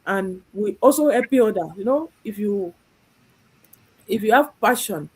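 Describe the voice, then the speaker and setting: A woman's voice: mid-range voice, animated, a little slow, breathy edge, rough recording. A woman from Nigeria hosting a live online stream, speaking earnestly and often repeating herself. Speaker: host.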